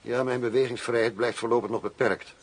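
An actor playing a cool, demanding arms buyer in a radio drama, formal, firm and self-assured. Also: male voice, mid-range voice, steady tone, a little fast, breathy edge. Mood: firm, businesslike. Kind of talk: Dutch radio drama acting. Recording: noisy old radio drama recording.

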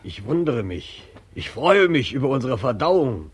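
A man's voice: mid-range voice, sing-song, a little slow, husky. An actor playing a cynical, sardonic male drinking companion in 1940s German radio drama style. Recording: vintage 1940s radio recording.

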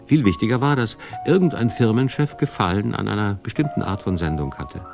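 Very low-voiced male documentary narrator. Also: brisk, gravelly, music in the background. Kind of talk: scripted radio documentary narration.

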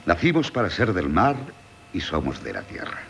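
An actor playing a courteous, gently ironic former tutor, measured and theatrical, in Castilian Spanish radio drama. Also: male voice, sits low, wildly swooping pitch, gravelly, slight background noise, exaggerated voice. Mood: wry, philosophical.

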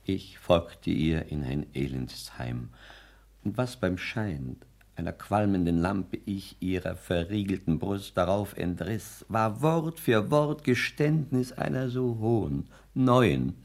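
An actor playing a pompous, self-absorbed poet who declaims in grandiloquent phrases, in the manner of a 1960s German radio play. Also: male voice, fairly low pitch, gravelly, a little mellow, heavily acted. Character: pompous, rapturous male poet lodger, high-flown and self-absorbed.

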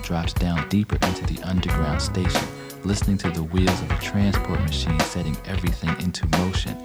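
Man, low pitch, measured literary recitation, radio drama narrator, prominent plucked guitar music.

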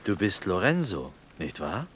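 Polite, probing traveller, lively intonation. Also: male voice, low-pitched, measured pace, a little hiss or hum. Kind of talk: German radio play acting.

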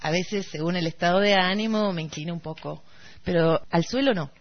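A woman's voice: lowish voice, a little fast, close to an everyday voice. A woman acting a vain, courteous, slightly bumbling male singer in an Argentine radio play. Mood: self-important. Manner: courteous, hesitant, self-important. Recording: dull lo-fi radio recording.

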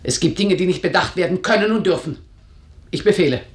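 A woman's voice: lowish voice, a little fast, recorded from a distance, close to an everyday voice. A woman acting a domineering, ageing mother in a German radio drama, declaiming and commanding like a household tyrant. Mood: stern, cold. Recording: roomy echo, slightly off-mic.

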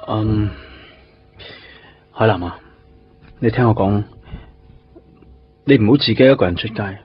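A man's voice: fairly low pitch, unhurried, husky. An actor playing an earnest, protective male police detective in a Cantonese radio drama, speaking in short, clipped phrases. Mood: concerned, urging. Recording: dull band-limited radio broadcast, music behind.

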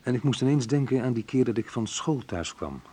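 A man's voice: mid-range voice, lively intonation, a little fast, mellow, breathy edge. An actor playing a wry, reflective man who narrates memories of his youth in an intimate radio drama, confiding and a little hesitant. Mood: quiet, sad. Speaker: narrator and main character of a radio play.

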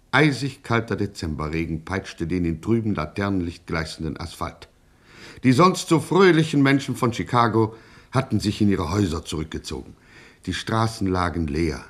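A man narrating a radio crime satire in a florid, mock-solemn, dramatic storytelling style. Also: lowish voice.

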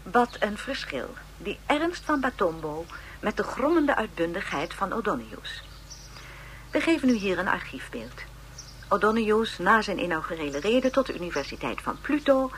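A woman playing an encyclopedia narrator in a radio drama, giving formal, expressive, measured biographical narration. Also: medium pitch, a little hiss or hum. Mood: informative.

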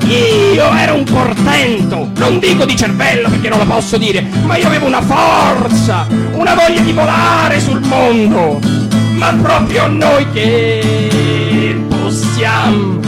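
Actor playing a bossy old blackbird, high and swooping, guitar behind. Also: male voice, exaggerated voice. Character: jealous older male blackbird, bossy lecturer. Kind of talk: radio fairy-tale reading. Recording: guitar music underneath.

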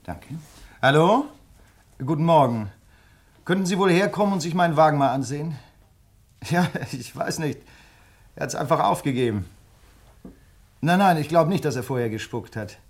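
A man's voice: fairly high pitch, expressive, normal speed, pauses often. An actor playing a polite, easygoing male traveller in a German radio play, speaking in a well-mannered conversational way.

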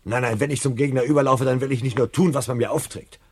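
A man's voice: medium pitch, steady tone, fast talker, slightly rough. An actor playing a troubled, searching fireman in a 1970 radio play, earnest and brooding in manner. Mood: defiant. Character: troubled, searching fireman, lonely and eager for conviction.

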